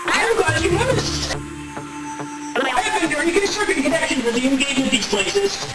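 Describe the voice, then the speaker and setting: A woman voicing a busy, excitable nonhuman caretaker with formal, precise, dramatic wording in a game dub. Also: very high voice, moderate pace. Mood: grateful, concerned. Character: busy, fussy, excitable nonhuman caretaker entity of a memory space. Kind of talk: game fan dub character acting. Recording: echoey, distant, loud music behind.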